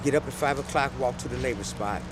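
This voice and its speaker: A man's voice: mid-range voice, fast talker. A homeless man being interviewed outdoors, talking earnestly and reflectively and often ending with "man". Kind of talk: candid street interview. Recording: loud traffic noise outdoors.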